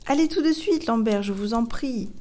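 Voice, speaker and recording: Bossy, excitable young girl played by a female narrator for a storybook; high, eager, soft-toned delivery. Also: moderate pace, close to an everyday voice. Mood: impatient. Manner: eager, exclamatory, demanding.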